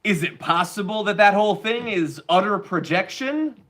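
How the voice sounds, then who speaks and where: A man's voice: high-pitched, lively intonation, normal speed, a bit bright. A man hosting a political commentary show, giving blunt, sarcastic opinions on the news.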